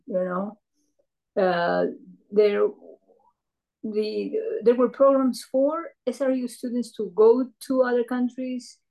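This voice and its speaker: An older woman from Peru, a retired professor, recalling her career in an oral history interview, speaking thoughtfully with many pauses and fillers. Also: mid-range voice, gravelly, dark tone, low-quality recording.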